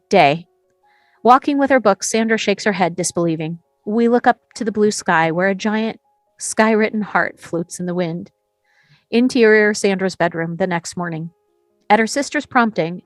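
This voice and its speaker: A woman's voice: medium pitch, dry recording. Woman narrating screenplay directions, dry sound. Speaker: narrator reading stage directions.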